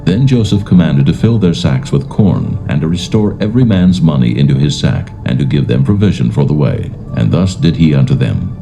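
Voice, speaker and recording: A man narrating dramatised scripture in a solemn, measured, formal manner. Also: rumbling low, big pitch swings, creaky, dark tone. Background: faint music.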